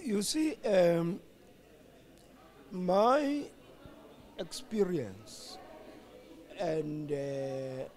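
Older male interview guest, South African accent, animated high voice with wide swings, halting and emphatic political reflection.

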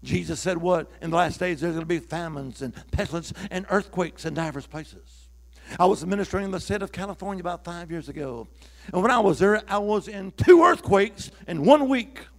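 A man's voice: sits high, lively intonation, a little fast. A man preaching a sermon to a church, calling out to the congregation for responses and building to emphatic peaks. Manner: call-and-response preaching, rising to emphatic shouts.

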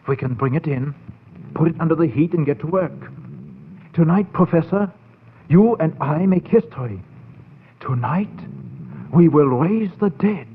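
An actor playing a scheming, insistent scientist in 1940s radio horror, speaking formally and persuasively in a theatrical style. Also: male voice, a little high, fairly even intonation, fast talker, lightly acted. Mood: smooth, persuasive. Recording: tinny, noisy old radio broadcast.

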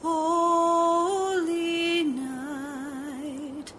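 A woman singing a Christmas carol slowly. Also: very high-pitched, flat delivery, loud music behind the voice. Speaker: singer.

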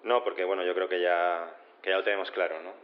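An actor as a low-voiced, hesitant juror. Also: male voice, little pitch movement, muffled recording, close to an everyday voice. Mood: awkward, hesitant. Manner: hesitant, halting. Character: hesitant, awkward male jury spokesman. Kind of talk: audio drama acting.

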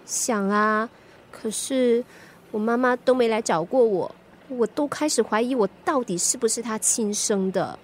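An actress playing a stubborn, jumpy young student with a Singaporean accent, complaining expressively in a radio drama. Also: female voice, a little high, unhurried, slight background noise, close to an everyday voice. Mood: weary, grumbling. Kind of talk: radio drama acting, conversational.

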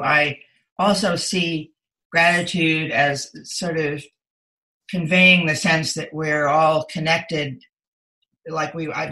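Bright, creaky-voiced older woman. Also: sits low, rough recording. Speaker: interview guest, author. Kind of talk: reflective conversational interview answers.

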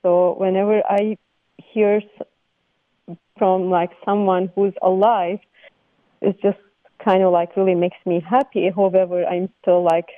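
A woman from Turkey with a Turkish accent, speaking as a guest on a news radio phone interview, halting with many fillers and restarts. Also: medium pitch, flat delivery, dry recording. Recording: phone line.

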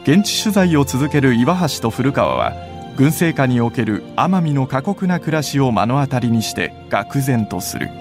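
Male narrator, medium pitch, wide intonation, formal scripted delivery for radio drama. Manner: formal, deliberate.

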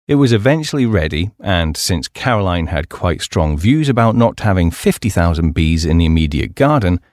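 Male audiobook narrator for a light memoir: lowish register, animated intonation, articulate and measured storytelling. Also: no echo, studio quality.

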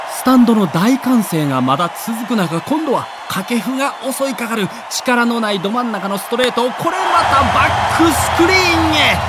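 High, animated male storyteller. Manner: vivid storyteller, builds excitement. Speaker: narrator. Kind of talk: dramatic scripted narration.

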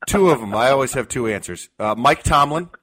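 A man's voice: medium pitch, animated, brisk, bright, forceful tone, rough recording. An American man talking casually as a sports panelist, thinking aloud and hedging his opinions in a loose, joking way.

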